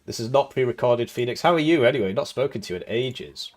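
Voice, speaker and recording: A man's voice: mid-range voice, expressive, quick pace. A young man hosting a live game tournament stream, talking casually with jokes and chuckles while commentating the match. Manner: rambling, joking, chuckling between remarks. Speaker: tournament host and caster.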